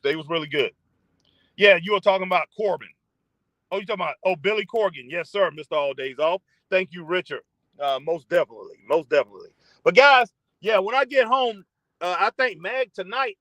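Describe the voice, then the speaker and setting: Live-stream host, male, rambling and conversational, talking into a phone in his car, sharp tone. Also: high-pitched, dry recording. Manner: rambling, chatty, reads viewer comments aloud. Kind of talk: casual live-stream chat.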